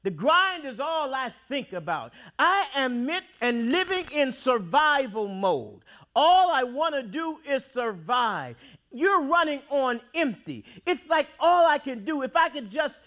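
High, bright-voiced preaching man. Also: very animated, a little slow. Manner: preaching with dramatic swings in volume and pace. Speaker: pastor. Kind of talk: sermon. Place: church sanctuary.

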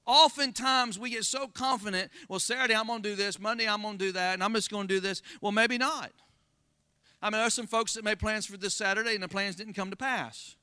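A pastor with a Southern Florida accent preaching a sermon, folksy and calling out to the congregation for responses. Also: male voice, sits high, steady tone, fairly quick, pushed and bright, clean tone, no echo, clean studio sound. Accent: Floridian Southern American. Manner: call-and-response, folksy, rising to emphatic exclamations.

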